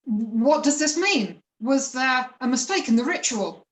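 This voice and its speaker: Awestruck, anxious peasant, played by a woman, high voice, florid reverence, roomy echoing sound. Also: animated, unhurried, far from the mic. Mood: worried. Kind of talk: amateur dramatic reading of a myth. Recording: echoey room.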